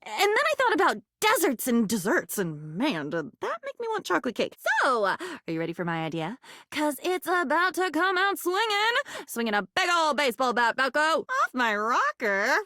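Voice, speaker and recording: A whimsical female diary come to life for a video game. Her voice sits fairly high and swings widely in pitch as she muses aloud. She is curious, excitable and theatrical, recorded very dry with no room sound. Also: moderate pace, no echo, heavily acted. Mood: excited, then mock offended. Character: living diary, quirky, excitable, curious female datable character. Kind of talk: video game character voice acting.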